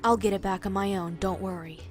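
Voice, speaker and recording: A woman plays a cold female ringleader in an audio drama, scolding and condescending. She gives orders to underlings in an average-pitched voice, with faint music underneath. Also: steady tone, a little fast, close to an everyday voice. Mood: stern, controlled. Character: cold, bossy female conspirator commanding two bungling underlings.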